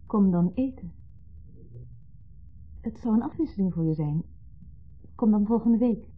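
A woman's voice: mid-range voice, expressive, unhurried, husky. An actress playing a guarded, nostalgic married woman in a 1970s Dutch radio play, giving short, understated replies. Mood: composed, reassuring. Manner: reserved, brief, understated replies. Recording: muffled, lo-fi 1970s radio recording.

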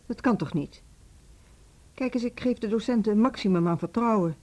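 An actress in a Dutch radio drama playing a stern, controlling middle-aged music school headmistress who speaks curtly and with authority. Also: female voice, a little high, moderate pace, darkish tone, lightly acted. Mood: stern, annoyed. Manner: authoritative, curt.

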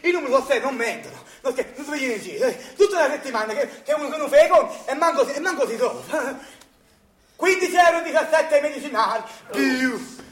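An actor playing an anxious, excitable young Neapolitan worker in a radio drama, rambling and repeating himself as he counts money. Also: extremely high pitch, fairly quick, roomy sound. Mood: flustered. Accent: Neapolitan, southern Italian. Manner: rambling, agitated, repetitive. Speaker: stage actor. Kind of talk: theatrical radio drama monologue and dialogue.

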